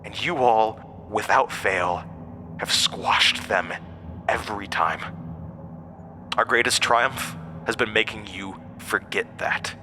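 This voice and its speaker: An actor playing an ancient monster, a persuasive, scornful tempter who speaks with theatrical, deliberate emphasis in a horror audio drama. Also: male voice, fairly low pitch, sharp tone, slight background noise. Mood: scornful, grand.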